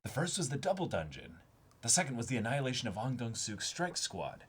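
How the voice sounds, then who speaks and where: A man's voice: mid-range voice, big pitch swings, fairly quick, a bit bright, husky. A man co-hosting a comic read-along stream, reading dialogue aloud and reacting casually in a jokey, mock-dramatic, sweary way.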